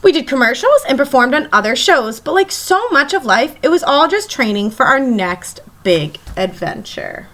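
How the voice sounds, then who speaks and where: Lady teacher reading a kids story out loud, high voice, really expressive and swoopy. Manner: animated, emphatic, pauses to question young listeners. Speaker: elementary school teacher reading aloud. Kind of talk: expressive children's read-aloud storytelling.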